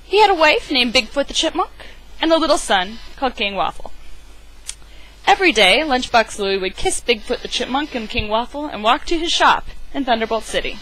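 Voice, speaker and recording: A woman reads a children's picture book aloud in a dramatic, emphatic way. Her voice is on the high side and bright, with very animated intonation. She sounds like an enthusiastic amateur storyteller. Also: brisk, rough recording. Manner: theatrical, emphatic delivery. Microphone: webcam microphone.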